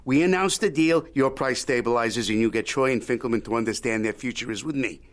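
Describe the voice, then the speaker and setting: An actor playing a shrewd, folksy old media mogul in an audio drama, haggling in a wry, needling, Yiddish-peppered way. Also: male voice, mid-range voice, fairly quick, close to an everyday voice. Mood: scheming. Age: older.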